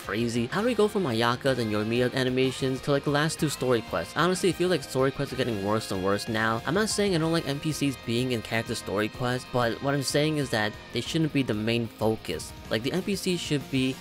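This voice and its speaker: A man's voice: mid-range voice, animated, a little fast, few pauses. Casual male gaming vlogger over music. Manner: informal, conversational. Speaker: gaming video host. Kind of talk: casual video game opinion commentary.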